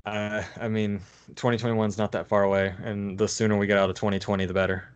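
A man with a lowish voice talks fast and fires off dry quips in a casual podcast chat among friends. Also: flat delivery, clear, resonant tone. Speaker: podcast co-host.

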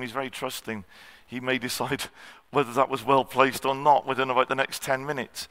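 Husky male preacher, bright tone, long hall reverb; self-deprecating, halting delivery. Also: mid-range voice. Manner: self-deprecating, frequent ums and throat clearing. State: slightly husky voice. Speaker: guest preacher. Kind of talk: sermon. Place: church hall.

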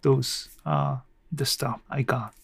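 Male solo podcaster, gravelly and dry-sounding, hesitant off-the-cuff rambling. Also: medium pitch, steady tone, no room sound. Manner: hesitant, rambling, halting with small self-deprecating chuckles. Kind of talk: casual unscripted monologue.

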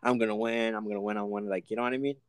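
Laid-back young man, lower dry voice. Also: fairly even intonation, rapid-fire, clean tone, dry recording. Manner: easygoing, laughs often, filler-heavy. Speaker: podcast co-host. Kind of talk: casual chat.

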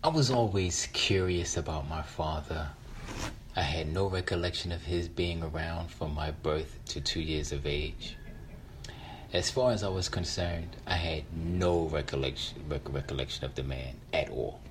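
Male storyteller, middle-aged, low voice, reflective personal monologue, faint background noise. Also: moderate pace, rough recording. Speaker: featured storyteller.

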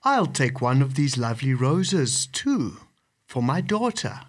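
A woman's voice: rumbling low, big pitch swings, measured pace, breathy edge, voice pushed down, heavily acted. A woman voicing a humble, timid old father in a storybook, speaking earnestly. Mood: fond, musing. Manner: pleading, earnest.

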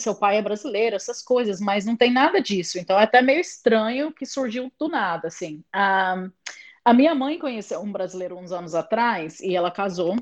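A young English woman, a native English speaker, chatting in an interview as a lively storyteller. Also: mid-range voice. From England. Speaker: interview guest. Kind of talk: casual conversation.

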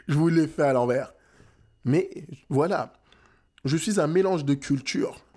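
A man's voice: fairly high pitch, slow pace, warm tone, husky. A young man from France giving a rousing motivational talk, emphatic and dropping English phrases into his French. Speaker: motivational speaker.

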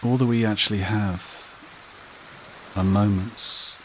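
Man, meditation guide, very slow pace, flat steady intonation, soothing repeated phrases, long pauses, faint rain behind. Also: lowish voice, a little hiss or hum. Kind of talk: guided meditation, hypnotic induction. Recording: rain and running water behind voice. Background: steady rain and stream sounds.

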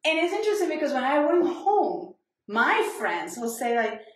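A woman's voice: sits high, big pitch swings, moderate pace. Colombian-accented woman in an echoey room. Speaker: interview guest. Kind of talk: reflective conversational interview.

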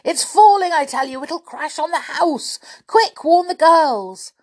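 Woman acting a frantic, high-pitched young boy yelling warnings. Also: animated, a little slow. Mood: frantic alarm. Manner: urgent, yelling, impatient.

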